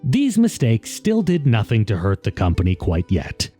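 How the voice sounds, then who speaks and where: A man's voice: lowish voice, wildly swooping pitch, normal speed, mellow, husky, faint music behind. A man reading scripted documentary narration as the narrator of a video essay.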